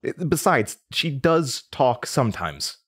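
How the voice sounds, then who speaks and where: A man's voice: mid-range voice, sing-song, slow pace, a bit bright, slightly rough, heavily acted. An actor playing a nervous, confused young man under interrogation, stammering and hesitant in a naturalistic audio drama. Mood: uneasy, defensive.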